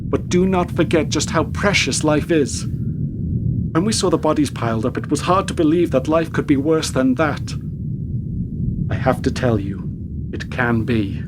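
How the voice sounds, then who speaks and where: A man playing a probing, world-weary government interrogator in a post-war radio play, questioning in a measured, formal, clipped way. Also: on the high side, lively intonation. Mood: grave, persuasive. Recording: very noisy radio drama recording.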